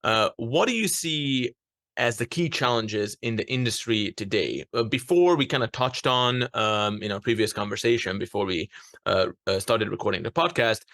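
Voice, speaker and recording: A man's voice: fairly low pitch, lively intonation, moderate pace, fairly bright tone, no room sound, studio quality. A man from Hungary hosting a casual interview podcast, speaking with frequent hesitations and fillers. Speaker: podcast host.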